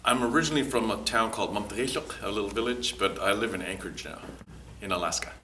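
A man's voice: on the low side, quick pace, bright, forceful tone, slight background noise. A middle-aged man answering interview questions in a laid-back, reflective way. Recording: echoey room, distant mic.

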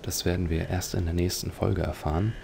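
Low, creaky-voiced man commentating. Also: little pitch movement, fairly quick, mellow, a little hiss or hum. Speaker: let's player. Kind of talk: game commentary.

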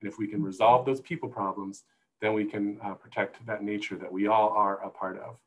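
A middle-aged man explaining things as a panel guest on a video call, in thoughtful, halting phrases, on an earbud mic. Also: lowish voice, fairly even intonation, poor audio. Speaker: guest, zoo conservation manager. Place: indoor room, video call. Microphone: wired earbuds headset.

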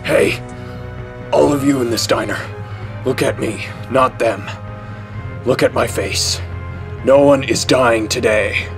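An actor playing a grim, commanding masked vigilante in a superhero audio drama, terse and deadpan. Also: male voice, medium pitch, takes it slowly, vocal fry, pauses often, soft background music. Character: grim, commanding masked male vigilante hero, middle-aged.

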